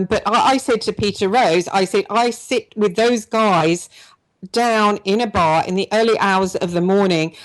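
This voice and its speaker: A woman's voice: medium pitch, low-quality recording. A middle-aged woman hosts a radio talk show. She talks in long, loose stretches, restarting and repeating words as she goes. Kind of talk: conversational interview.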